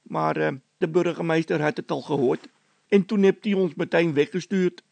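A man's voice: fairly high pitch, moderate pace, vocal fry, darkish tone, dry recording, voice pushed up. A man voicing a hot-tempered, mocking workman who blurts and scolds in a read-aloud comic story. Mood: indignant. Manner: indignant, blurting, scornful.

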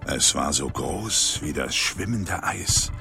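An actor playing an awestruck Inuit hunter, telling of a strange sight in a portentous radio drama manner. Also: male voice, rumbling low, expressive, slow, creaky, over music, full character voice.

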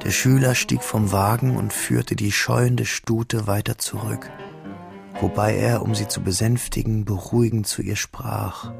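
A man narrates a literary radio drama in a low, heavily creaky voice. His delivery is scripted storytelling, and music plays clearly behind him. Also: steady tone, measured pace.

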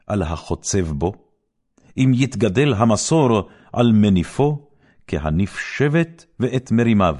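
Deep-voiced man reciting scripture solemnly. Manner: solemn, declamatory, with wide swings of pitch and loudness. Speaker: Bible reader.

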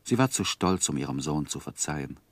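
An actor playing a reflective, grieving gentleman who narrates his life story in a measured, literary radio play style. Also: rumbling low, lively intonation, slightly rough, dry recording, exaggerated voice. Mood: solemn grief. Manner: measured, solemn, sorrowful.

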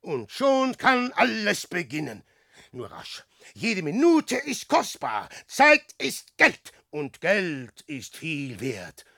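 An actor playing a boastful, lecherous adventurer posing as a prophet in a verse radio play, declaiming theatrically between musing and crowing. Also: male voice, sits high, wildly swooping pitch, slow, creaky, bright, forceful tone, full character voice. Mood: excited, grasping.

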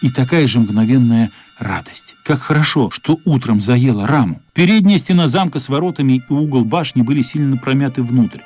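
A man's voice: medium pitch, brisk, few pauses, a little mellow, husky, soft background music, lightly acted. A man narrating a children's radio play as a scripted storyteller.

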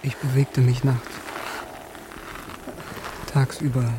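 A man playing a war-worn, stoic sergeant in a radio drama, telling his story in terse, measured, sober words. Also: medium pitch, level tone, noisy background, close to an everyday voice. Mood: sombre, restrained. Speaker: defendant and narrator. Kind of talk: German radio drama acting, first-person recollection.

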